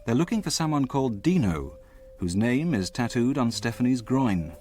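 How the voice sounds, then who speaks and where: A man reading a scripted radio drama announcement in a measured, formal manner. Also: mid-range voice, sing-song, a little mellow, faint music behind. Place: broadcast studio.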